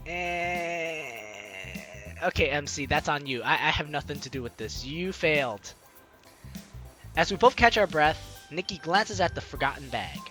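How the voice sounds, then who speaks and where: A man's voice: a little high, lively intonation, a little slow, sharp tone. A young man playfully reading a visual novel aloud and commenting on it as a let's play host, with game music behind him. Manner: playful, theatrical, jokey reactions.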